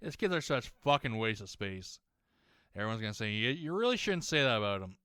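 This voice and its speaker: A young man ranting while playing a game, rambling, swearing a lot and often restarting his sentences, his voice slightly hoarse as it warms up. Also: fairly low pitch, sing-song, a little fast, no room sound, clean studio sound. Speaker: gaming YouTuber.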